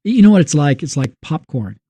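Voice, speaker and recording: A man's voice: on the high side, very animated, fairly quick, warm tone, breathy edge, no echo. A man co-hosting a talk, explaining plainly in a conversational way with little check-in questions. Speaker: co-host. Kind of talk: conversational commentary.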